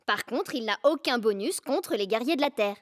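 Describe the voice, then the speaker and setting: Woman as high-voiced know-it-all cartoon girl. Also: animated, moderate pace, natural voice. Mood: earnest, instructive. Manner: explanatory, earnest. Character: earnest, know-it-all young girl game strategist. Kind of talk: cartoon dub acting.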